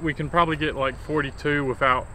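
Man, casual yard chat, slightly high voice, faint background noise. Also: fairly even intonation, fast, bright, forceful tone, rough recording. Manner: laid-back, musing, trails off.

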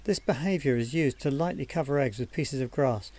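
A man giving scripted documentary narration for a wildlife training film in a measured, explanatory manner. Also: medium pitch, a little mellow.

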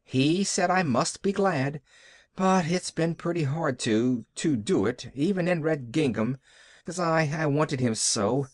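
Storybook role: gushing little orphan girl, relentlessly glad, breathless, high and swooping , acted by a man. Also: lively intonation, unhurried, dry recording, clean studio sound. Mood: brave, wistful. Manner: breathless, rambling, eager.

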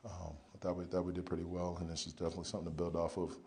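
Young male athlete, very low and flat, halting post-game podium answers. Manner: halting, many ums and you-knows, low-key. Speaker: football player. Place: press conference room, indoors. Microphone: podium mic in front.

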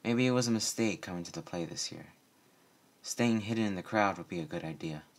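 A man's voice: low voice, brisk. A man narrating a story aloud as a reader of fan fiction.

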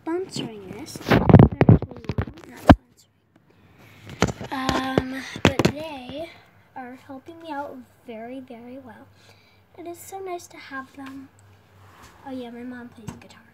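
A woman's voice: high voice, slow pace, long pauses, heavy background noise. A young girl chatting casually to the camera while showing a how-to in her small bedroom, talking to herself as she goes. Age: child, around 10 to 12. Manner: chatty, unscripted, self-talking while tying a headscarf. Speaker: vlogger. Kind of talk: casual home tutorial vlog. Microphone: phone or tablet camera set across the room.

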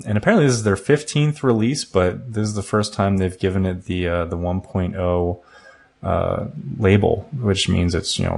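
A man's voice: low voice, creaky. A man co-hosting a tech podcast, reading a guest introduction and news items with frequent fillers. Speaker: podcast co-host. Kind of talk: reading intro, casual chat.

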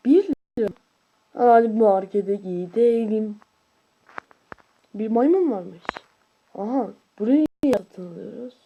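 A woman commenting playfully on a video game, swinging from whispered asides to excited squeals and grumbling at it. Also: mid-range voice, slow, long pauses, narrow, tinny audio, a little hiss or hum. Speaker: gaming YouTuber. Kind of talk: casual let's-play commentary.

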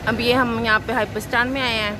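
A woman's voice: on the high side, little pitch movement, fairly quick. A woman with a Pakistani accent casually narrating a vlog to her viewers in appreciative, conversational running commentary, recorded on a handheld phone in a shopping mall. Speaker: family vlogger. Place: busy indoor shopping mall. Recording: very noisy, crowded mall ambience. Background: loud crowd and mall noise.

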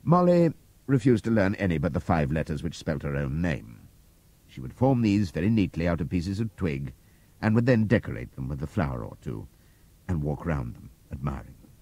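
A man reading an audiobook aloud in a measured, expressive storytelling manner. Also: extremely low pitch, no room sound. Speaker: audiobook narrator. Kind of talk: scripted narration.

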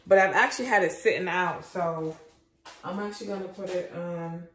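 Low-pitched woman vlogging in an echoey room. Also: steady tone, normal speed, fairly bright tone. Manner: informal, chatty, repeats phrases. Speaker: lifestyle vlogger. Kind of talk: casual vlog chat to viewers. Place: rooms at home, indoors. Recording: roomy echo, background noise.

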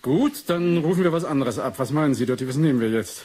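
Actor as musing investigator, faint hiss. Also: male voice, medium pitch, animated, fast, darkish tone, lightly acted. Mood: thoughtful, brisk. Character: shrewd, methodical male investigator with a doctorate, reasoning aloud. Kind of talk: 1980s German radio drama acting.

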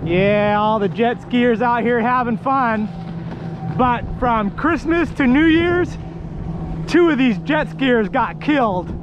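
Man, middle-aged, high-pitched, chatty fishing commentary, action camera outdoors, wind and water noise loud behind. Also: slow pace, lo-fi sound. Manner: chatty, exclamatory, talks to himself. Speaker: kayak fishing vlogger. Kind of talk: casual running commentary while fishing. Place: kayak on open water outdoors. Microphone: action camera mounted on kayak.

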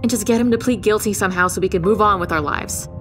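A woman's voice: medium pitch, fast talker, over music, close to an everyday voice. An actress playing a stubborn, compassionate homicide detective in an audio drama, pleading her case in a principled, insistent way. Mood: earnest, pleading.

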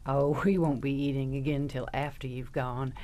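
A woman's voice: rumbling low, level tone, normal speed, mellow. An actress playing a genteel, sinister Southern lady of the house, sugary and folksy, in an audio drama. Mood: hospitable.

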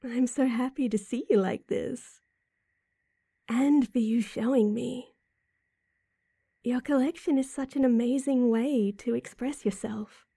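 A woman's voice: fairly high pitch, measured pace, pauses often, mellow, no room sound, heavily acted. A woman playing a sweet, supportive, teasing girlfriend in an intimate roleplay audio drama, talking affectionately to the listener. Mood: tender. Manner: affectionate, teasing, breathy chuckles and sighs. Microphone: close mic, dry.

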